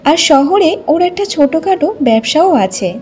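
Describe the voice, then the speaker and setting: A woman's voice: high voice, animated, normal speed, darkish tone. A woman reading scripted narration for a cartoon folk tale as the storyteller.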